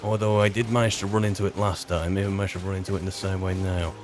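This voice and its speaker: A man's voice: low voice, flat delivery, fast. A British man doing casual in-character commentary while playing a fantasy game, wryly muttering to himself. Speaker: player narrating a roleplay of a fantasy video game. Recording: game music behind the voice.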